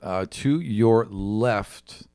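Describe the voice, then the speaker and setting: A man's voice: on the low side, extremely slow, a little mellow, clean tone, dry recording. A man improvising narration as game master of a tabletop fantasy game.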